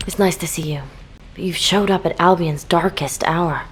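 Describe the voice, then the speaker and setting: A woman's voice: lowish voice, steady tone, normal speed, a little hiss or hum, close to an everyday voice. A woman playing an earnest, self-blaming young soldier in a video game, speaking solemnly and respectfully.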